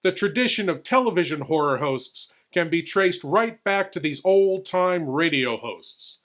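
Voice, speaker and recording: Fairly high-voiced man presenting as horror host. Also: lively intonation, a little slow, a bit bright. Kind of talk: scripted presenter commentary.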